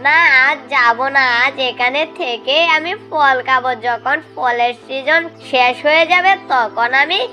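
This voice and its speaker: A woman's voice: sits high, unhurried, few pauses, sharp tone, faint music behind, pitched above the performer's own voice. A woman voicing a talkative, easygoing jackal in a children's animal cartoon, chatty and matter-of-fact. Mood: musing.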